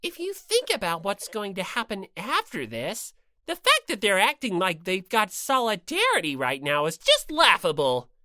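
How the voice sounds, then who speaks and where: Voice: mid-range voice, wildly swooping pitch, moderate pace, bright, forceful tone, dry recording, clean studio sound, voice pushed up. An audiobook reader voicing a gleefully cruel, vain, bossy bear mascot villain with mocking, theatrical delivery. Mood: smug scheming. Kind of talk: character voice in fan audiobook.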